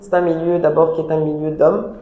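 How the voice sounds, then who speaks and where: A woman's voice: sits low, flat delivery, a little fast, phone-quality sound. A woman giving earnest, emphatic interview answers as the founder of a job agency, often repeating her points. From DR Congo. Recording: echoey room.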